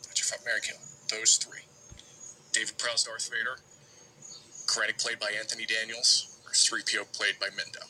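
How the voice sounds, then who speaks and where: A man with a very bright, forceful voice talks in a halting, rambling way, as if leaving a casual voicemail. He repeats words as he goes, and there is faint noise on the recording. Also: lowish voice, level tone. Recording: noisy amateur voicemail recording.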